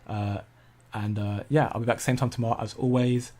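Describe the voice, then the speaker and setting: A man's voice: mid-range voice, steady tone, normal speed, a little mellow. Chatty man hosting a livestream. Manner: chatty, self-correcting, laughs easily at chat. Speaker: crypto livestream host. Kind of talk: casual livestream chat, answering viewer comments.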